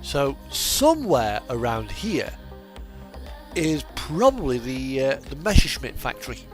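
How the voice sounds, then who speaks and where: A man's voice: mid-range voice, big pitch swings, measured pace. A British man rambling casually and a little hesitantly as he plays a driving game, chatting in loose asides. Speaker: gaming streamer. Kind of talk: casual rambling chat while playing a truck driving simulator. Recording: music playing behind the voice. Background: music, vehicle engine sounds.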